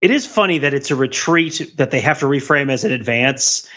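Animated bright-voiced man, slightly dull audio. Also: medium pitch, brisk. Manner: animated, conversational. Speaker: podcast co-host. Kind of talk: casual chat.

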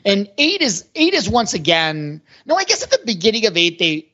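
A man's voice: sits high, huge pitch swings, a little fast, pushed and bright, husky. Male podcaster, loose and meandering conversational delivery, working out thoughts aloud, somewhat dull audio. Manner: rambling, thinking aloud. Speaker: podcast host. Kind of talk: casual movie discussion.

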